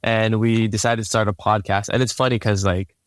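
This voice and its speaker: A young man from Hawaii, a native Hawaiian speaker, talks through his story in a low, fairly level voice. His tone is smooth and clear, and the recording is dry and close. He sounds laid-back and often repeats a word as he starts a sentence. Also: little pitch movement, a little fast, no room sound. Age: young adult. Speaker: podcast guest. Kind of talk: casual interview storytelling.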